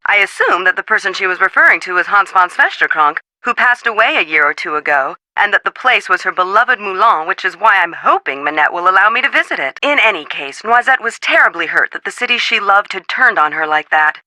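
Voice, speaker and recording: Articulate female historian, video game, earnest, formal, explanatory, very crisp forceful tone, dry recording. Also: mid-range voice, lively intonation, a little fast, few pauses, dry recording, low-quality recording. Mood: solemn.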